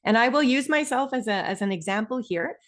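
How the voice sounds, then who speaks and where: A woman with a Canadian accent explains things clearly and deliberately as a webinar presenter, stressing key terms. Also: on the high side, lively intonation. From Ontario, Canada.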